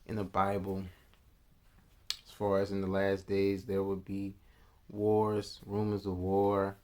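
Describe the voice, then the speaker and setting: A man's voice: sits low, a little mellow. A man vlogging a casual reaction, musing aloud in a loose, slangy way with restarts and asides. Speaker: vlogger reacting to news clips.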